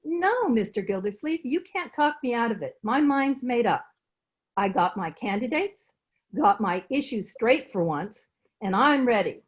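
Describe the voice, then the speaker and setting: A woman's voice: a little high, expressive, a little slow. A woman playing a determined, cheerful, folksy housekeeper in an old-time radio comedy, plain-spoken, on dull video-call audio. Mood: proud, determined. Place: recorded remotely over a video call.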